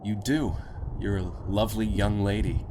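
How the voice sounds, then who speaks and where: A man playing a grave, tender, protective father in a post-apocalyptic audio drama, speaking deliberately and wearily. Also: fairly low pitch, fairly even intonation, heavy background noise, lightly acted. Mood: tender, wistful. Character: weary, protective father in a ruined post-war world.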